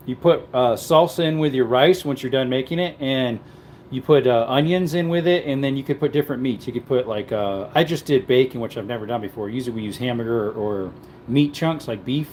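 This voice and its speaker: A man's voice: medium pitch, fairly quick, darkish tone, slight background noise. A man casually chatting to viewers while cooking at home, rambling in an easygoing way. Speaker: cooking livestream host. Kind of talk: casual live-stream chat while cooking.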